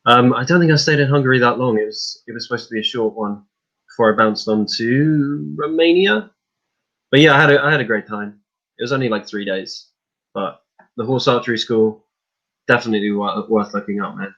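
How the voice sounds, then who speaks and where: A man chatting on a podcast, relaxed and rambling, with plenty of fillers. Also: medium pitch, fairly quick. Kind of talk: casual podcast chat. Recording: slightly muffled lo-fi audio.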